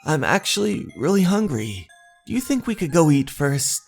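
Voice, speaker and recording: A man's voice: a little high, big pitch swings, normal speed, creaky, faint music behind, pitched above the performer's own voice, full character voice. A man voicing an anxious, earnest young man talking urgently to his friend in a horror manga dub. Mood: sheepish, hurried.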